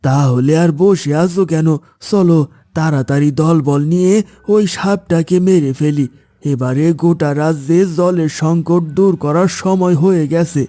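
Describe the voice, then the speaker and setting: A man's voice: fairly high pitch, unhurried, warm tone, dry recording, natural voice. A man voicing a suspicious, rabble-rousing villager in a fairy-tale cartoon, speaking insistently and dramatically.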